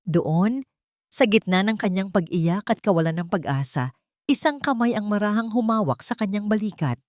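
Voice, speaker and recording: A woman's voice: mid-range voice, animated, normal speed, darkish tone, dry recording, studio quality. A woman narrator reading a romantic story as dramatic scripted narration.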